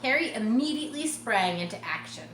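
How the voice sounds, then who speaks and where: Woman, children's read-aloud, expressive, casual asides, distant mic, faint background noise. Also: fairly high pitch, reverberant. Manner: expressive, acts out dialogue and pauses to explain. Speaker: children's book reader.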